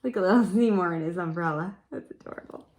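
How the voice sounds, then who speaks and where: A woman reading a story aloud for children in an expressive, coaxing way, pausing for chatty teacherly asides. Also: fairly low pitch, a little hiss or hum. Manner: animated, coaxing, pausing to comment and wonder.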